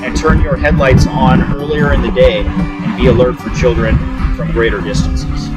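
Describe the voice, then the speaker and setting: A man's voice: mid-range voice, normal speed, loud music behind the voice, rough recording. A man presenting scripted public safety tips.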